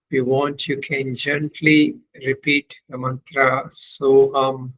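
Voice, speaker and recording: Slow, even-toned man guiding meditation. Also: medium pitch, dull audio. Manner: measured, deliberate phrases with long pauses. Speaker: meditation teacher, swami. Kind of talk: guided meditation instruction.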